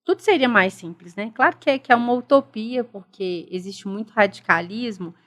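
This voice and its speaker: A woman's voice: medium pitch, animated, normal speed, fairly bright tone. A middle-aged woman with a Brazilian Portuguese accent, speaking earnestly and reflectively as a guest in a casual podcast interview. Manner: earnest, reflective, stresses respect and dialogue. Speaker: guest, school director.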